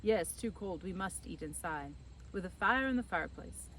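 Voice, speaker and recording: A woman voicing a fond, expressive Italian father who keeps an inn, in a storybook, theatrical and full of rhetorical questions. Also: on the low side, moderate pace, a little hiss or hum, lightly acted, poor audio. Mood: calming.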